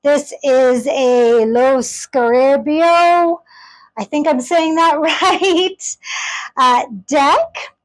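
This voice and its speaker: High-voiced woman gushing over a tarot deck. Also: drawn-out words, low-quality recording. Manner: gushing, enthusiastic; chatty, addresses viewers directly. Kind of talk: casual deck review.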